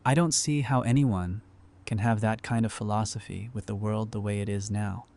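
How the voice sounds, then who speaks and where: A man's voice: on the low side, steady tone, normal speed, darkish tone, natural voice. A man playing an easygoing, pragmatic apocalypse survivor in a fan audio drama, speaking in a casual, wry way. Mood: serious.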